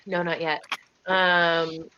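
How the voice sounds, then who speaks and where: A woman playing an online tabletop game, chatting casually in a halting, flustered way. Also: on the low side, level tone, sharp tone, clean tone, no echo, muffled recording. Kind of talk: casual playful in-game chat.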